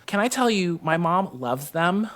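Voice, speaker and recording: A middle-aged man with an American accent, telling personal stories conversationally in an animated manner. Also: high voice, unhurried. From South Texas, USA. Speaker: guest co-host.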